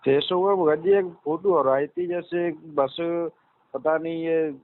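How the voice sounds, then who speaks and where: A man's voice: a little high, steady tone, measured pace. A man, a hesitant and deferential caller seeking advice in casual phone conversation. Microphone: telephone. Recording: dry, tinny telephone line.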